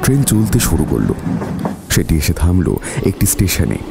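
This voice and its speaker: A man's voice: sits low, wildly swooping pitch, normal speed, gravelly, noisy background. A man narrating a scripted story in a dramatic storytelling style.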